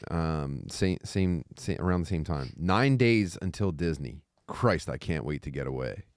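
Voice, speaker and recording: A man's voice: rumbling low, animated, measured pace, no echo, clean studio sound. A man in casual, profane podcast conversation, bantering informally and reading passages aloud. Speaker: co-host reading aloud from a book.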